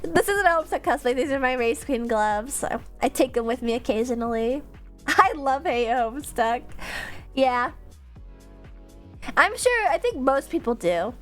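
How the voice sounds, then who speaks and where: A woman with a high-pitched voice talks casually to her livestream viewers over background music. She sounds congested, as if her nose is stuffed up. Her delivery is rambling and animated, full of slang. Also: moderate pace. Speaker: streamer, VTuber.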